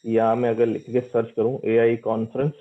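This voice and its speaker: A man's voice: medium pitch, completely flat delivery, brisk, muffled recording. A middle-aged man giving an informal, interactive lecture, explaining step by step and repeating phrases for emphasis.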